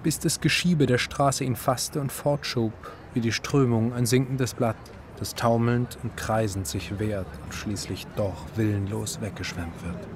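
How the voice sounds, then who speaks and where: An actor narrating a literary radio drama as a grave, measured male storyteller. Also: medium pitch, steady tone, slight background noise, close to an everyday voice. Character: male storyteller recounting a woman's fear, grave.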